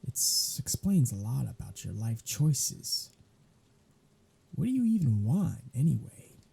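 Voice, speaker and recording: A man's voice: medium pitch, big pitch swings, measured pace, creaky, mellow, breathy edge, heavily acted. A man playing a sardonic, weary vampire in an ASMR audio roleplay, talking in a flippant, conversational way. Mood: snarky.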